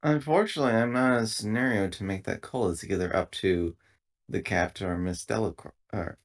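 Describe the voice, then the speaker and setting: A man playing a polite, formal synthetic crew assistant in a tabletop game, speaking in a measured, halting way. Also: lowish voice. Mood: polite, deliberate.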